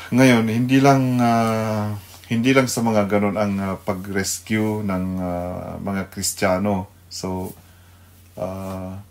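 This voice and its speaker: A man with a Filipino accent giving an unscripted devotional talk as a radio host, haltingly searching for words. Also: lowish voice, level tone, a little mellow.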